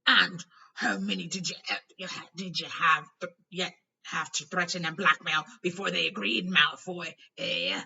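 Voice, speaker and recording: A woman voicing a huge, hot-tempered, indignant man in a storybook, loud and growling. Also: fairly low pitch, animated, normal speed, gravelly, slightly rough, far from the mic, heavily acted. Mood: furious. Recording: noisy, dull home recording.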